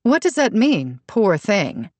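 A woman's voice: medium pitch, lively intonation, normal speed, dry recording, pitched above the performer's own voice, lightly acted. A woman voicing a blunt, defensive, sardonic mother in an audiobook. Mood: indignant. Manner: blunt, confrontational. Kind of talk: audiobook character dialogue.

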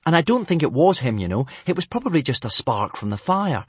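A man plays an anxious young Viking boy in a storybook, earnest and apologetic. The voice is lifted and husky, with hesitant, very expressive phrasing. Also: fairly high pitch, fairly quick, dry recording, pitched above the performer's own voice. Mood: hurried, nervous.

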